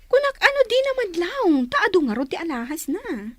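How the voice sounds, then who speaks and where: Give me a brazen conniving thief of a girlfriend, very high and wildly animated. She has an Ilocano accent and a radio-drama style, recorded dry and close. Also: moderate pace, dry recording, exaggerated voice. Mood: conniving, gossipy. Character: brazen conniving woman, thieving confidante.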